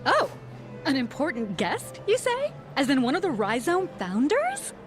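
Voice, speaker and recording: A woman's voice: sits high, huge pitch swings, unhurried, faint music behind, full character voice. An actress playing a rude, impatient woman who brushes off a stranger in a game dub. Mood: dismissive. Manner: dismissive, snippy.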